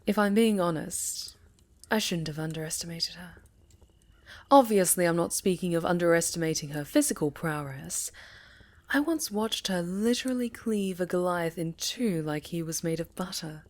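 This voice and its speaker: A woman playing a sinister, seductive necromancer villain in a fantasy ASMR roleplay, soft-spoken and half-whispered, with menacing, deliberate delivery. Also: fairly low pitch, animated, natural voice. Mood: brooding, menacing. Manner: slow, deliberate, menacing, savoring pauses.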